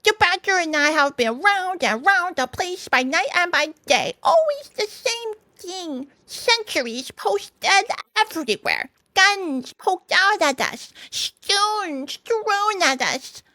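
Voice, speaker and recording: A woman voicing an excitable, fussy male mole in a storybook reading, squeaky and breathless. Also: takes it slowly, hardly any pauses, no room sound, exaggerated voice, rough recording. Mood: grumbling.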